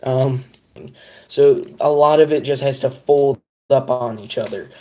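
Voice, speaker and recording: A man's voice: medium pitch, measured pace, a little mellow. A young man chatting casually on a live stream, rambling and self-deprecating as he talks to his viewers.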